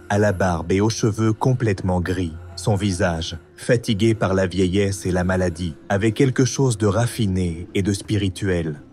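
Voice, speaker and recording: A man's voice: deep voice, darkish tone. A man reading scripted storybook narration for a cartoon.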